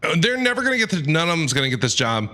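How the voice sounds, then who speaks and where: A man's voice: a little high, big pitch swings, rapid-fire, sharp tone. A man giving casual live reaction commentary as a game streamer. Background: game music.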